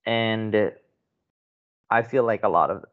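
A man's voice: fairly low pitch, slow. A man from the Philippines, a podcast guest, talking in a loose conversational way and often checking in with "right?". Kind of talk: podcast interview. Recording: muffled lo-fi audio.

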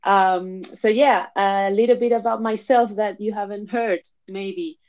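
A woman's voice: medium pitch, fairly even intonation, measured pace. Chatty woman in her thirties, lo-fi audio. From Argentina, raised in Spain. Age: 30s. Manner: warm, chatty, with frequent fillers. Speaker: guest, NFT platform founder. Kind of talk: casual chat. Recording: dull lo-fi audio.

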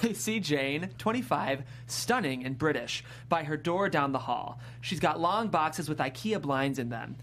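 A woman's voice: low-pitched, animated, a little slow, hardly any pauses, a bit bright. Female stage-directions reader for a script read, varied intonation, slight background hum. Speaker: script narrator. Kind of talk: reading screenplay stage directions.

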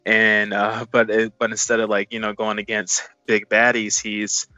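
Low-voiced man rambling casually, dry dull sound. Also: completely flat delivery, normal speed, pushed and bright, dry recording. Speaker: movie review co-host. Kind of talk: casual film review chat.

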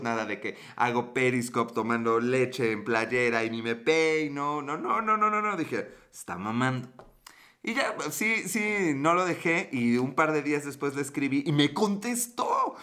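A man's voice: medium pitch, normal speed, sharp tone. A young Mexican man hosting a livestream, telling a rambling, slangy story and answering chat, laughing often. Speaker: livestream host. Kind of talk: casual storytelling to live chat.

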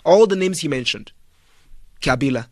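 A man's voice: on the high side, expressive, normal speed, a little mellow, dry recording. A man speaking as a studio guest on a radio talk show, making his points emphatically with pointed rhetorical questions. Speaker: studio guest, political commentator.

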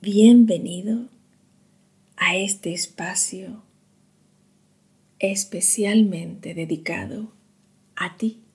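A woman guiding a meditation in a soothing, hushed manner, leaving long pauses between short phrases. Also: mid-range voice. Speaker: meditation guide. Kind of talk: slow guided meditation narration.

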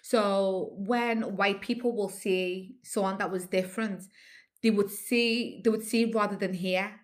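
Lively Scouse woman telling stories. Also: medium pitch, a little slow. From born in Nigeria, raised in Liverpool. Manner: lively storyteller who acts out others' lines. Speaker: guest. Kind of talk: casual conversation.

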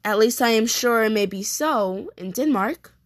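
A woman playing an anguished, vengeful young prince in a declamatory Shakespearean stage monologue with dramatic pauses. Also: a little high, animated, no room sound, close to an everyday voice. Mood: bitter, outraged. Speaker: performer rehearsing a soliloquy. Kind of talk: theatrical Shakespearean monologue, repeated takes.

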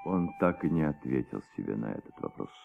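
An actor narrating a detective radio play in a measured, suspenseful, dramatic way on an old recording. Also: male voice, a bass voice, big pitch swings, vocal fry, narrow, tinny audio, over music, heavily acted. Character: thoughtful male storyteller of a detective tale.

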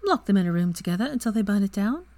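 A woman voicing a bright, eager young witch in a higher, lively voice that often drops to a hushed whisper. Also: very fast, no room sound, natural voice. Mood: affectionate.

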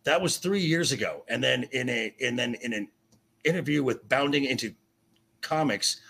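A man with a raspy voice in a heated pop culture discussion, insistent and halting, often repeating himself. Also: medium pitch, expressive, bright, forceful tone. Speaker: podcast guest. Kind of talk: heated opinionated film and TV discussion.

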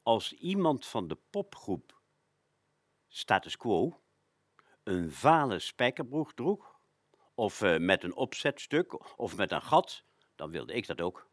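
A man's voice: lowish voice, very animated, takes it slowly, pauses often, no echo, clean studio sound. A man telling anecdotes as a presenter on a local radio show.

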